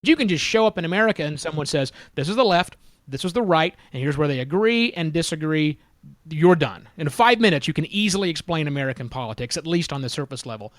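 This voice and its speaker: A man hosts a podcast in a slightly high voice, speaking to the listener directly and steering the talk with self-deprecating asides. He sounds animated. The recording is dry and close. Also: quick pace, dry recording. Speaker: podcast host. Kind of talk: casual podcast interview.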